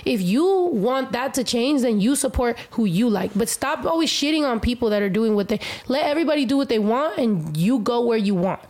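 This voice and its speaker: A woman's voice: a little high, animated, brisk, few pauses, darkish tone. A woman with an American accent chatting casually as a music artist, blunt and opinionated, with lots of slang and swearing. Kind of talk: casual chat.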